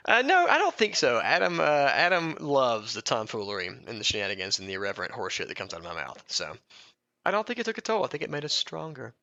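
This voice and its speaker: Bright-voiced, irreverent man on a lo-fi mic. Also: mid-range voice, sing-song, quick pace, no echo. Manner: irreverent, breaks up laughing while reading. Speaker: podcast co-host. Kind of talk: reading a mock biography aloud with joking asides.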